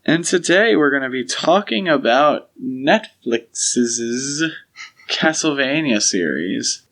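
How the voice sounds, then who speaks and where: A man's voice: medium pitch, animated, slow pace, a bit bright. A man co-hosting a casual podcast discussion, explaining things in an opinionated way. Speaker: podcast co-host.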